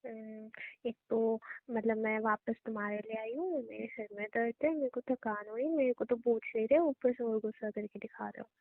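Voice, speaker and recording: Young wife, played by an actress, weary, stalling and a bit whiny, higher voice, dry phone-quality sound. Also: female voice, fairly even intonation, moderate pace, dry recording, natural voice. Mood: annoyed. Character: tired, reluctant young wife, evasive and easily annoyed. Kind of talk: staged intimate phone-call style conversation. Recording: dry, thin phone-like narrowband audio.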